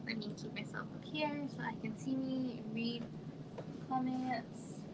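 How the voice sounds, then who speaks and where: A soft-spoken woman from Arizona, with a somewhat high voice, chats casually with viewers from home. She trails off and now and then sinks to a near whisper. Steady hiss and room noise sit under the livestream audio. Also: measured pace. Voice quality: quiet voice. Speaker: livestream host. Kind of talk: casual live chat with viewers.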